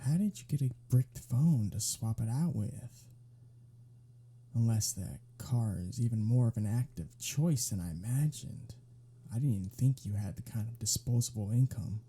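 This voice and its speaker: A man's voice: mid-range voice, vocal fry, warm tone, slightly rough, exaggerated voice. A man playing a smug, centuries-old vampire in an intimate ASMR roleplay, teasing with a sarcastic drawl. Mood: dry sarcasm.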